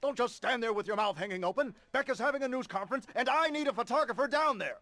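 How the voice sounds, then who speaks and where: An irascible middle-aged game newspaper boss, barking orders in a very high, gravelly voice. He blusters forcefully and sounds dry and close. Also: expressive, fast, no room sound, full character voice. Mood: irate. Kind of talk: video game cutscene acting.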